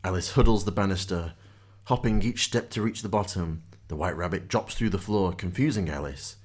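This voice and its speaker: A man's voice: sits low, normal speed, husky. A man reading scripted narration as the storyteller of a radio drama.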